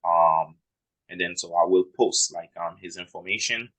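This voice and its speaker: A man with a very deep voice hosts a casual interview. He speaks hesitantly, hunting for words with plenty of "um" and "I mean" along the way. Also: normal speed, a bit bright, rough recording. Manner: hesitant, many "um" and "I mean" fillers. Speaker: interview host.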